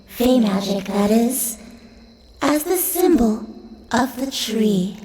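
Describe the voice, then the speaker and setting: A woman playing a gentle, solemn unicorn guardian in a fantasy audio drama, speaking formally and with measure. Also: high voice. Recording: echoey, music underneath.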